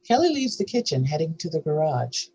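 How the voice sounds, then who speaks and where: Husky narrator reading screenplay directions. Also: mid-range voice, very animated, fairly quick, darkish tone, poor audio.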